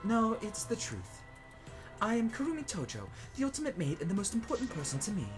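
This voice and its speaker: A woman playing a dramatic high school student in a comedic anime game fandub, with theatrical delivery. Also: sing-song, brisk, faint music behind, lightly acted. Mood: solemn.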